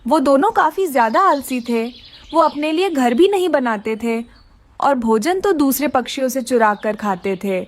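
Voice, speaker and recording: A woman narrates a children's cartoon tale from a script. Her voice is very high and moves with animated intonation from line to line. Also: moderate pace.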